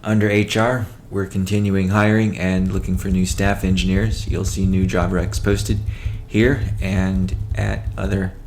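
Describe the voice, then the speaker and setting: A man presenting a company update as a chief executive, in a measured, matter-of-fact way with halting phrasing. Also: low voice, flat delivery, a little mellow, slight background noise. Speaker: company CEO hosting a weekly call. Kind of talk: business update presentation, partly read from notes.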